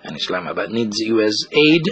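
A man's voice: lowish voice, sing-song, normal speed, a bit bright, husky. A man reading scripted news commentary as a radio reporter.

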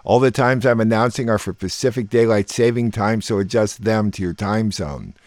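Man, radio host, lower-pitched voice, dry recording, scripted news narration. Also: moderate pace, a little mellow, dry recording.

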